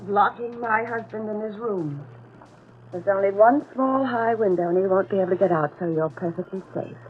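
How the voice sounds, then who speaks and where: An actress playing a coolly threatening, calculating blackmailer in old-time radio drama, speaking in an insinuating, deliberate way. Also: female voice, mid-range voice, lightly acted. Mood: brisk, controlled. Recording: tinny band-limited vintage radio, music behind.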